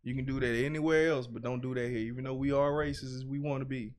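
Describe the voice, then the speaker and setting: A man from Buffalo, a podcast guest and author, talking in a blunt, profane, animated way in casual conversation. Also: medium pitch, fast talker. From Buffalo, New York.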